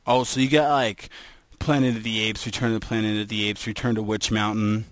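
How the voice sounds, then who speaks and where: A man's voice: fairly low pitch, level tone, fairly quick, no room sound. A man co-hosting a podcast in casual, joking chat. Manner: joking, does silly voices. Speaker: podcast co-host.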